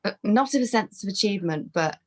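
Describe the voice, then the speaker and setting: A woman's voice: mid-range voice, expressive, fast talker, a little mellow. A woman in her thirties, a podcast guest on a webcam video call from her bedroom, talking casually with many restarts and fillers. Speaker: podcast guest, fitness trainer. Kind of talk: casual conversational interview. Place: bedroom with brick wall.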